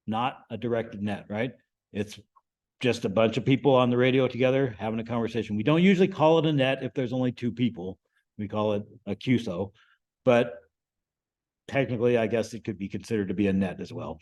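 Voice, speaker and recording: A man giving an informal, explanatory lesson to a group as the instructor, often checking in with a quick "right?". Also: medium pitch, a little mellow. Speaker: amateur radio class instructor.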